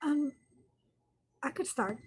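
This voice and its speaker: A woman's voice: sits high, slow. A woman in her thirties from the Republic, chatting casually on a video-call panel, laughing often and correcting herself as she goes. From Dominican Republic. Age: 30s. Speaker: panelist artist.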